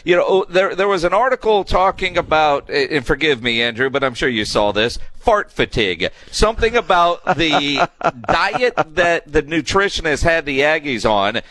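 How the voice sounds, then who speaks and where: A man's voice: on the high side, animated, normal speed, sharp tone. A man hosting casual sports talk radio, putting questions to a guest in a joking, teasing way.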